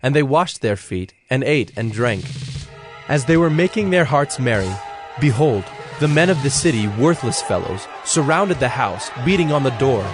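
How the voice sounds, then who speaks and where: A man narrating a dramatized scripture in a measured, solemn, scripted style. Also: medium pitch, lively intonation. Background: background music.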